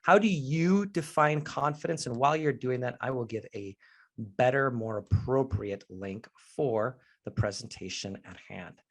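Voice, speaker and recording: A man's voice: mid-range voice, measured pace. A man in his forties from Mexico, a professional speaker leading an online workshop on confidence, talking in a candid and earnest way straight to his audience. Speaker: leadership trainer and professional speaker. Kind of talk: live online workshop presentation.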